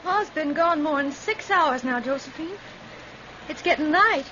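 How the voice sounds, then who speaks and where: A woman's voice: high-pitched, animated, unhurried, pushed and bright, heavily acted. An actress playing an anxious, devoted teenage farm girl with a rural Southern American accent in a vintage radio drama. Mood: worried. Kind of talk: 1940s radio drama acting. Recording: muffled, noisy old radio recording.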